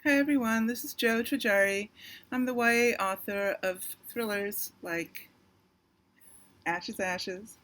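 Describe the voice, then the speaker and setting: A woman speaks slowly at a middle pitch. She is an author presenting a trivia question to listeners. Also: a bit bright, slight background noise. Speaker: author of young adult thrillers.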